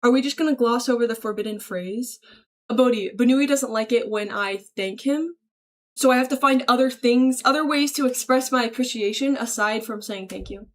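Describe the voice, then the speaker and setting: Female streamer, higher-pitched, informal and slangy, chummily thanking and answering her chat. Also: moderate pace. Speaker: livestreamer greeting chat. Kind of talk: casual livestream chat, reading and answering viewer messages aloud.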